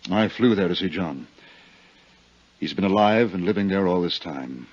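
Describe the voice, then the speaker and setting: A middle-aged American murderer in a 1950s radio drama, lovesick and bitter. The voice is deep and rough, halting yet earnest. The vintage recording sounds muffled. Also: male voice, animated, no echo, exaggerated voice. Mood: resigned.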